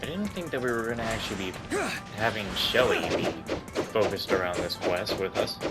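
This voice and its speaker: A young man livestreaming a game, chatting casually in a griping, profanity-laced, animated way. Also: fairly low pitch, normal speed. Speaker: game streamer. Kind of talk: casual livestream chat over gameplay. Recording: loud game music behind the voice.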